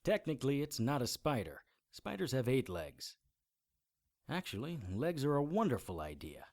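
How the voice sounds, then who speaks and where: Audio drama adventurer hero, medium pitch, commanding and matter of fact, explains stuff like orders. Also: male voice, sing-song, normal speed, darkish tone. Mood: brisk, instructing. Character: brisk, commanding male occult adventurer with a sword. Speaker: lead actor and narrator. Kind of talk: audio drama acting and first-person narration.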